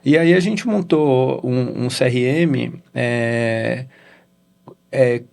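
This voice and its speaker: A middle-aged Brazilian man with a Brazilian Portuguese accent, a guest in a casual interview, telling a story in halting sentences with frequent "né?" tags. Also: medium pitch, gravelly, a little mellow. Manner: halting, with many restarts and "né?" tags. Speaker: interview guest, real estate executive.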